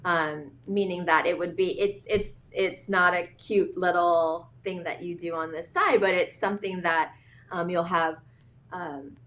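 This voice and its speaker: Brief: woman from Hawaii, founder guest, low-pitched, halting and reflective. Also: fairly even intonation, a bit bright, slight background noise. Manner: thoughtful, hesitant with many fillers and restarts. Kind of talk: casual interview talk.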